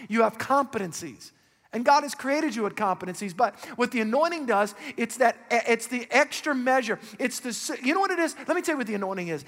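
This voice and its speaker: Raspy-voiced male pastor preaching in a hall. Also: very high voice, a bit bright. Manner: preaching with rising emphatic calls and questions to the congregation. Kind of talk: sermon.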